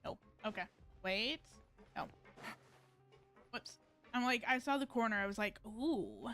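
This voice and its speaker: A woman's voice: on the high side, unhurried, fairly bright tone, soft background music. A woman giving casual live gameplay commentary, musing and thinking aloud in a hesitant way. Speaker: game streamer.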